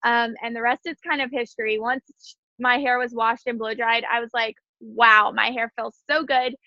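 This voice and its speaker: A woman's voice: fairly high pitch, flat delivery, moderate pace, no room sound, lo-fi sound. A woman in her twenties, slightly stuffy from sneezing, chatting casually about her story on a video call from outdoors. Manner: chatty, giggly, many fillers. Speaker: guest speaker. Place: outdoors in the wind.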